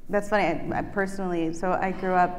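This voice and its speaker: A woman from Ecuador with a fairly low voice moderates a panel onstage. She asks thoughtful, loosely worded questions, softened with "you know" and "sort of." Her voice carries a long echo through a big auditorium, over a faint hum of the room. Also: fairly even intonation, quick pace. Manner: thoughtful, hedging questions with fillers. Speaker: curator, moderator. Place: museum auditorium stage, indoors, large. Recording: echoey auditorium with faint ambience.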